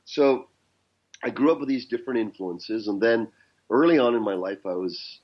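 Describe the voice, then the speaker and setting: A man's voice: mid-range voice, lively intonation, normal speed, muffled recording. A man with a Canadian accent, a guest telling his life story in an earnest, reflective way. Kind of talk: podcast interview.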